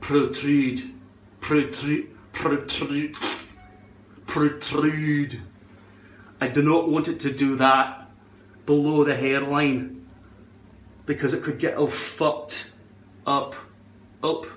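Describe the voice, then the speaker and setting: A man's voice: fairly high pitch, flat delivery, slow pace, long pauses, a bit bright, a little hiss or hum. A man rambling through a casual product tutorial in a shaky put-on Scottish accent, repeating words and swearing now and then. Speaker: vlogger demonstrating a hairpiece adhesive product. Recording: roomy, echoing indoor sound.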